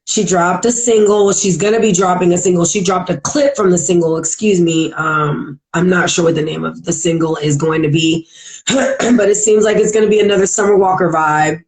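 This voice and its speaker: Woman, podcast host, raspy heavy voice, congested, low-pitched, mellow dark tone, chatty with filler words. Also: steady tone, moderate pace. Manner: chatty, lots of "like" and "um", clears throat often. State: congested from allergies. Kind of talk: casual chat on an Instagram live podcast. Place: at home in Virginia.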